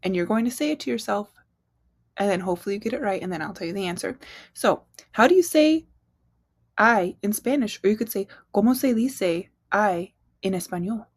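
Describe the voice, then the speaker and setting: A woman's voice: medium pitch, expressive, fairly quick, no echo, studio quality. A young woman, an English speaker, teaching a casual online Spanish lesson in a chatty way, with fillers and quiz-style prompts.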